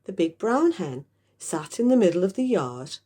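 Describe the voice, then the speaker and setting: A woman reading a children's story aloud with expressive, dramatic emphasis. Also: on the low side, normal speed, breathy edge. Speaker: storybook reader. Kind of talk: animated scripted children's story narration.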